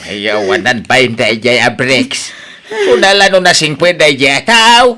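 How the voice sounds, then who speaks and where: A man's voice: mid-range voice, unhurried, a crowd in the background, natural voice. A man playing a worried, stern old father in a radio drama.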